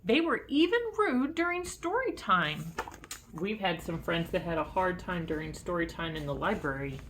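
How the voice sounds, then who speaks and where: Animated woman reading a picture book aloud. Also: on the low side, moderate pace, a little hiss or hum. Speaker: teacher reading aloud to children. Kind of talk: expressive picture-book read-aloud with asides.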